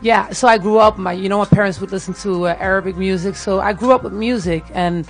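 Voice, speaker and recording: A woman with a Dutch accent and a gravelly edge, talking casually and hesitantly as an interview guest. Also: mid-range voice, fast talker, soft background music, poor audio. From Netherlands, grew up in Tilburg. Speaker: music artist, interview guest. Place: radio studio.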